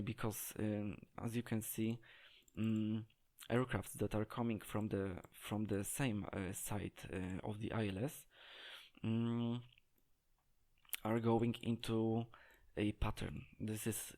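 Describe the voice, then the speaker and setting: A man giving an unscripted gameplay tutorial, talking in a hesitant, halting way as he searches for words. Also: on the low side, darkish tone. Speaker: game tutorial presenter.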